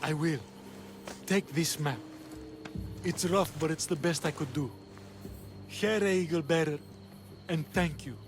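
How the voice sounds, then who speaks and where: An actor playing a weary, frightened traveler of ancient Greece in a video game, earnest and grateful. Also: male voice, on the high side, unhurried, long pauses, close to an everyday voice. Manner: earnest, pleading. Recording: music behind the voice.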